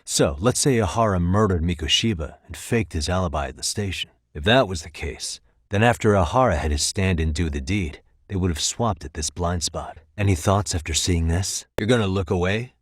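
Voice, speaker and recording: An American actor playing a sharp, determined male private detective in a video game, measured and probing, with dry, pointed questions. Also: sits low, slightly rough, no echo. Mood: analytical, firm. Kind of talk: video game voice acting, dramatic dialogue.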